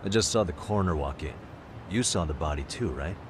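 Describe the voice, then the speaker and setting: An actor playing a serious, pushy, determined detective in an English video game dub. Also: male voice, low voice, lively intonation, a little fast, noisy background. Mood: urgent. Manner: serious, pressing. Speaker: private detective character.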